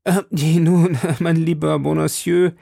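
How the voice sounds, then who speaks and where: A man's voice: on the high side, level tone, creaky, dark tone, breathy edge, no echo, studio quality, voice pushed up, exaggerated voice. Man voicing a brash, eager young gallant. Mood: surprised, courteous. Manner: brisk questioning, exclamatory. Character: brash, inquisitive young gallant, proud and impulsive. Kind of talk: audiobook character dialogue.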